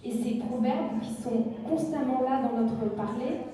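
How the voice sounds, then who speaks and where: A woman from Rwanda, whose first language is Kinyarwanda, gives a thoughtful conference talk, pausing and restarting as she searches for words. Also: high-pitched, dark tone. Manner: thoughtful, with frequent restarts and hesitations. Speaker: conference speaker, author. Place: conference hall. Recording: echoey hall, voice from far off.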